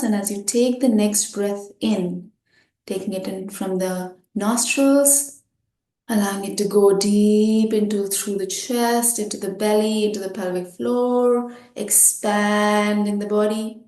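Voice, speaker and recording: Woman, meditation guide, very slow delivery, soft mellow tone, short phrases with pauses, echoey room. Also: medium pitch, steady tone. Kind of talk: guided meditation, gently instructing.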